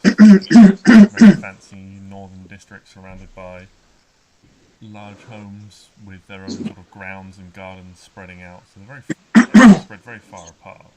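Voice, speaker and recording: A man's voice: high voice, wildly swooping pitch, unhurried, background noise. A man narrating a tabletop game as game master, with a hesitant delivery full of ums and restarts.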